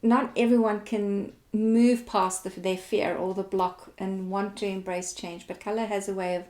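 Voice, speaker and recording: A woman's voice: medium pitch, level tone, normal speed, a little mellow. A South African woman hosting a live talk show in a chatty, effusive and encouraging way, interviewing her guest.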